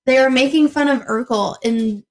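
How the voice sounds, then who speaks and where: A woman's voice: high-pitched, normal speed. A woman co-hosting a casual podcast, telling stories and chatting with her friend. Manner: chatty storyteller, mimics others in quotes. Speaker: podcast co-host. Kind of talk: casual chat.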